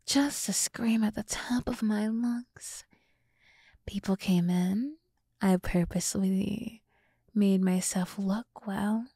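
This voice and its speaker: A woman voicing a flattering, smug demon woman devoted to her summoner in an ASMR roleplay, soft and breathy, often dropping to a whisper. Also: mid-range voice, no echo, studio quality. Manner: seductive, boastful, theatrical.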